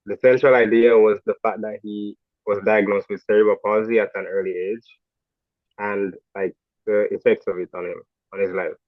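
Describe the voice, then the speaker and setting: A man's voice: lowish voice, level tone, moderate pace, no room sound. A man from Jamaica with a Jamaican accent, a student explaining his speech to his instructor on a video call. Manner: brief, agreeable replies. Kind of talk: answering feedback on a video call. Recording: dry, tinny phone-like call audio.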